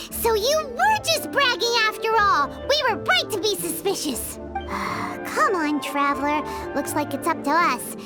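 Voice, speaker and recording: A tiny chirpy fairy girl chatters in a very high, springy voice that leaps up and down. She talks about herself by name in a game cutscene. Loud game music plays behind her. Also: sing-song, slow, full character voice. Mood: dry.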